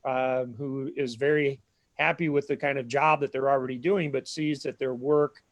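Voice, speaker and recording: Minnesota-accented man explaining calmly, dry sound. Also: mid-range voice, no echo. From southern Minnesota. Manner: measured, explanatory, frequent filler pauses. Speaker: academic dean and professor. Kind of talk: online info session conversation.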